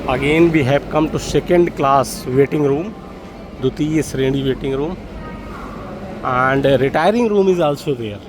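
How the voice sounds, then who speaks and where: A man's voice: medium pitch, unhurried. A man with an Indian accent gives an informal walk-through commentary as a travel vlogger, explaining things in a repetitive, hesitant way. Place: public railway station, on the move. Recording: busy station crowd and vehicle noise.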